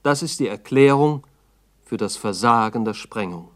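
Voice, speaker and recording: A man narrating a 1960s documentary radio drama in a sober, factual manner with measured diction. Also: medium pitch.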